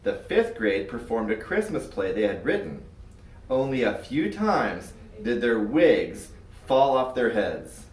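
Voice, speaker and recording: A man reading a children's story aloud in an expressive, scripted narrating style. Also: mid-range voice, measured pace. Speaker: storybook reader. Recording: roomy echo, far from mic.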